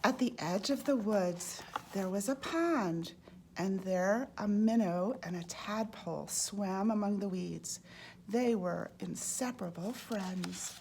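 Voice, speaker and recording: A woman reading a children's storybook aloud in an expressive way, as a storyteller. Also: mid-range voice. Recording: clearly noisy background.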